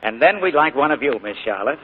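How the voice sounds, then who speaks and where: A man's voice: medium pitch, lively intonation, quick pace, husky. An actor playing an easygoing, persuasive young survey man in a 1940s radio drama, on a tinny old broadcast.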